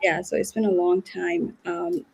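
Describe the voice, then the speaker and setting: A woman's voice: lowish voice, flat delivery, unhurried, gravelly, a little mellow, breathy edge, low-quality recording. A woman in her late thirties from Nepal, a guest on a live video call, talking earnestly and repeating words as she thinks. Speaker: guest, nurse and business owner. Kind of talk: live online interview.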